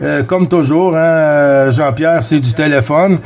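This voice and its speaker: A man with a Quebec French accent chatting casually as a CB radio operator, friendly, with halting phrasing and repeated words. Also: a little high, a little mellow. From Quebec, Canada. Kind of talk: casual radio chat with callsigns.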